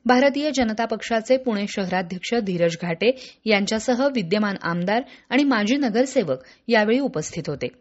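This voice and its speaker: A woman reading scripted news as a newsreader. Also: medium pitch. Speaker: news reader. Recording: dull lo-fi radio audio.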